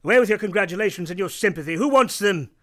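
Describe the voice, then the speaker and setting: A man's voice: high voice, animated, quick pace, fairly bright tone. A man playing an anguished master of a manor in a period radio play, pleading with passion. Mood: bitter, angry. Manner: impassioned, pleading.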